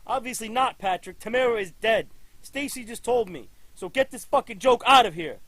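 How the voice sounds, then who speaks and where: A man playing a gruff middle-aged police chief, stern and given to furious swearing. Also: mid-range voice, normal speed, no echo, close to an everyday voice. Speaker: police chief and grieving father.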